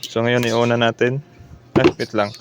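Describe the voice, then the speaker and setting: A man's voice: mid-range voice, level tone, normal speed, noisy background. A man from the talks through a hands-on repair. He is informal and practical, explaining each step as he goes. English technical terms are mixed into his speech. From Philippines. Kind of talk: casual repair demo vlog.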